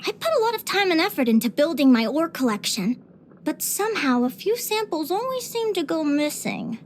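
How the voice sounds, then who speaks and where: A woman voicing a cheerful, curious young adventurer and naturalist in a video game, with an earnest, expressive delivery. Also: high-pitched, normal speed, a little hiss or hum. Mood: eager, animated. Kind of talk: video game character voice lines.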